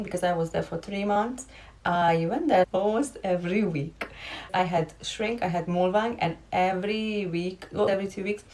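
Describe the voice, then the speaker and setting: A young woman from Romania, a content creator, talking candidly and directly to her viewers in a casual vlog. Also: on the low side.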